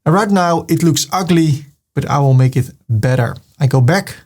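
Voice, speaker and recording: A man from the Netherlands, calmly narrating a step-by-step software tutorial and describing each click as he makes it. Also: a little high, moderate pace. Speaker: web design tutor. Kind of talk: screen-recorded software tutorial.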